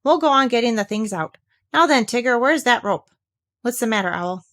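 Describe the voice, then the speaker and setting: Woman as a brisk, bossy storybook rabbit, pitched up. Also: expressive, fairly bright tone. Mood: managing. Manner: bossy, businesslike. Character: brisk, kindly male rabbit, officious organiser. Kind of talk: children's storybook character reading.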